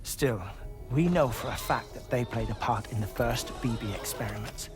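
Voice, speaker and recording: An actor playing a measured, erudite man who gives grave briefings in formal, deliberate, articulate game dialogue. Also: mid-range voice, music in the background, close to an everyday voice. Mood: grave, probing. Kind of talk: cinematic game dialogue, expository.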